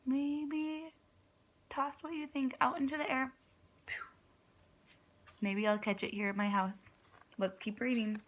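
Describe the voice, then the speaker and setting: A woman, a kindergarten teacher, reading a storybook aloud expressively, with dramatic pauses and encouraging questions for young listeners. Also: on the high side, gravelly. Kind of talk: children's read-aloud with prompting questions.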